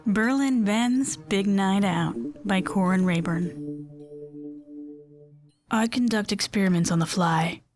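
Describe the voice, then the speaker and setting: A woman reading a first-person short story aloud in a lively, wry storytelling manner. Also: medium pitch, slow pace, pauses often, soft background music. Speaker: story reader. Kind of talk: scripted first-person narration.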